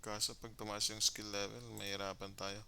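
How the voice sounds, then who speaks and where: Filipino guy doing chill gameplay commentary, lowish pitch, crisp sharp voice, switches between tagalog and english. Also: little pitch movement, a little fast. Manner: mixes Tagalog with English phrases. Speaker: gamer narrating his own gameplay. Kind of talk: casual game commentary, thinking aloud in Taglish.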